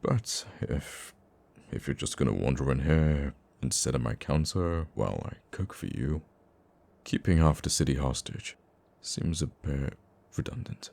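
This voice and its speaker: A man playing a gruffly caring, teasing supervillain who comforts a nemesis in an intimate ASMR roleplay, with a deep, gravelly voice. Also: animated, heavily acted. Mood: reflective, tender. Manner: soft-hearted, teasing, halting when flustered.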